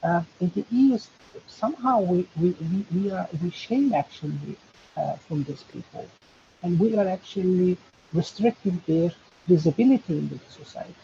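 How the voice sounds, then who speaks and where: A man from Turkey, a professor speaking on a webinar panel in a halting way, with many fillers and restarts. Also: high voice, expressive, gravelly, mellow. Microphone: video call. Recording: dull lo-fi video call audio with faint hiss.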